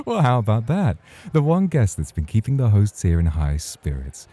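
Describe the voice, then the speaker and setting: A man playing a suave, teasing champion club host in an ASMR roleplay, with a husky voice and courtly manner. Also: mid-range voice, dark tone, full character voice. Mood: courtly, charming.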